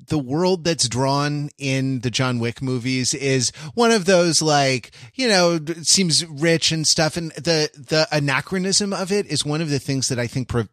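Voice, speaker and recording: A man co-hosting a podcast, chatting casually in a thoughtful, halting way as he works out his phrasing. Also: fairly high pitch, a bit bright, no room sound, clean studio sound. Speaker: podcast co-host. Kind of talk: casual film chat.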